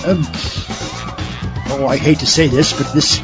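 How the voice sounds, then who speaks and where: An actor playing an exasperated, dry-witted mad scientist with a wry, theatrical manner in a comedy audio drama. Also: male voice, a little high, fairly even intonation, unhurried, muffled recording, over loud music, lightly acted.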